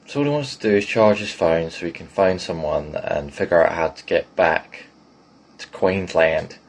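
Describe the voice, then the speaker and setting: A man's voice: sits low, moderate pace. A man casually telling a comic story in an amused, rambling manner.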